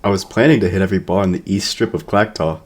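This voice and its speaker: A man's voice: low-pitched, fast talker. A man playing a brash, hard-partying mercenary in a sci-fi audio drama, speaking casually. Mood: brash, relaxed. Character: hard-partying, sports-mad mercenary guy.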